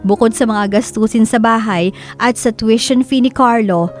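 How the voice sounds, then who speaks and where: A woman's voice: fairly high pitch, normal speed, natural voice. Woman acting as reflective Filipino daughter, music underneath. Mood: sad, reflective. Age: young adult. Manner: confiding, reflective. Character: sorrowful daughter narrating her troubled family life. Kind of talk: first-person scripted drama narration.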